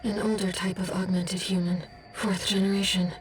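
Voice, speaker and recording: An actress playing a gentle, ethereal female consciousness in a video game, speaking softly, earnestly and in measured phrases. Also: medium pitch, flat delivery, slight background noise, close to an everyday voice. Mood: wondering. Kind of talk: video game cutscene voice acting.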